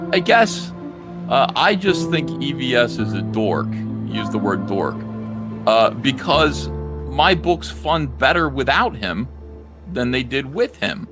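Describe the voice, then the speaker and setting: A man's voice: mid-range voice, animated, slow. A man in a heated, unscripted livestream rant as host, confrontational and sarcastic. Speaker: live stream host. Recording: music playing loudly behind.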